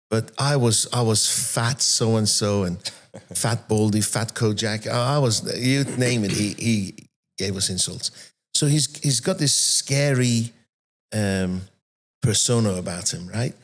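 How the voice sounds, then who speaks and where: A soft-spoken man in his fifties from Iran who moved to England as a teenager, telling personal stories as an interview guest. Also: fairly low pitch, normal speed. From born and raised in Iran, moved to England at 13. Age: 50s. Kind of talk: animated personal storytelling in an interview.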